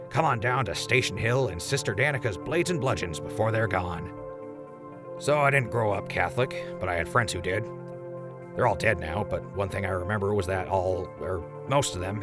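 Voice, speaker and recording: An actor playing a wisecracking, chatty ghoul radio host in a post-apocalyptic audio drama. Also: male voice, fairly low pitch, lightly acted.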